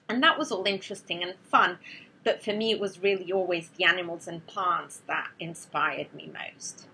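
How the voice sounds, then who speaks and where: A woman from Portugal, giving a measured, explanatory talk to students as a neuroscientist. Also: lowish voice, pushed and bright, a little hiss or hum, low-quality recording. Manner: measured, explanatory, with a few restarts.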